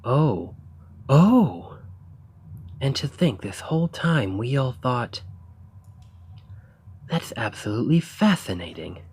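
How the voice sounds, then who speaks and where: A man playing an earnest, eager researcher of ancient civilizations in an ASMR roleplay, speaking in formal, gently sympathetic phrasing. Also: medium pitch, very animated, slow, pauses often, husky, heavily acted. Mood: curious, fascinated. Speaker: audio roleplay performer. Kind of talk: ASMR roleplay, scripted character acting.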